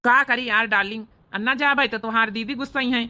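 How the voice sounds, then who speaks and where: A woman doing a flirty village husband, pitched down. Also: steady tone, fast talker, bright, forceful tone. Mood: flirty, sheepish. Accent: Awadhi. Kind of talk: Awadhi comedy cartoon voice acting.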